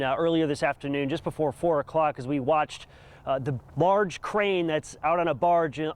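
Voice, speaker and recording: A man's voice: a little high, clean tone. A man giving a live news report as a field reporter, speaking outdoors. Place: outdoors near a river.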